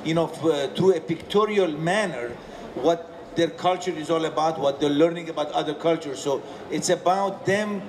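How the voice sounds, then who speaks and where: A man's voice: on the high side, normal speed, background noise. A middle-aged man on a panel explaining his view with some restarts, into a handheld mic. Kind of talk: panel discussion answer. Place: stage of a large indoor hall.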